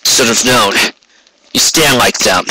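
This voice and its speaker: A man's voice: medium pitch, slow, noisy background, natural voice. A man voicing a weary, wounded male pony guard captain, proud and dutiful, speaking in a tired, firm and terse way.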